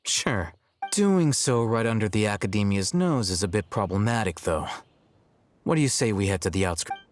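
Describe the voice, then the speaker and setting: An actor playing a calm young male scholar in a video game, speaking in a measured, formal, detached way. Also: on the low side, slight background noise, lightly acted. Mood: businesslike. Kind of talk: video game story dialogue. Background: game background music.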